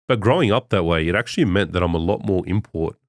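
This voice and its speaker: A man whose was Levantine Arabic talks in a low voice. He chats casually and thinks aloud. The sound is close and dry. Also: fast, no room sound. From Australia. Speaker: podcast host. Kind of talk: casual chat.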